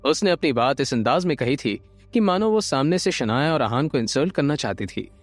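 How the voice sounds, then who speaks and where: A man narrating an audio drama from a script, expressive and building suspense. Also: mid-range voice, a little fast, no echo. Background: light background music.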